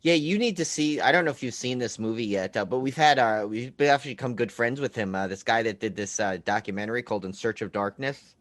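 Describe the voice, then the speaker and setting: A man's voice: medium pitch, fast, fairly bright tone. A man co-hosting a podcast, chatting casually and informally in a loose, rambling conversational way. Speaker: podcast co-host. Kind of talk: casual chat.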